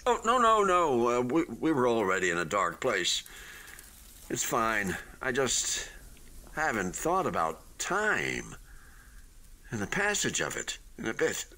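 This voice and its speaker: A man's voice: mid-range voice, wildly swooping pitch, sharp tone, exaggerated voice. A man in a radio play voicing an unfinished hotel building, proudly deluded and eagerly optimistic, with a theatrical delivery that halts and then gushes. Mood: wounded, shaken. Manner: theatrical, halting then gushing, swooping emphasis.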